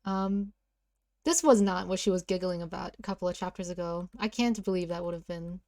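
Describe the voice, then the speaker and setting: A woman's voice: lowish voice, brisk, darkish tone, dry recording. A playful, giggly woman co-hosting a read-aloud, narrating a story and bantering casually. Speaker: co-host of a read-aloud series.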